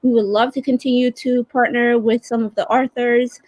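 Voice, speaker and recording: A woman's voice: a little high, level tone, normal speed, a little mellow, dry recording. A woman co-hosting a casual livestream, chatting in an informal, upbeat way. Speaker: campaign director, co-host. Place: remote video livestream.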